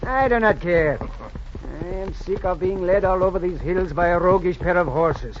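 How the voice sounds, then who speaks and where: Male actor as a weary, grumbling Mexican cowhand, high voice, theatrical 1940s radio, muffled vintage sound. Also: normal speed, close to an everyday voice. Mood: exasperated. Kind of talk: 1940s radio drama acting. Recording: dull, noisy vintage radio recording.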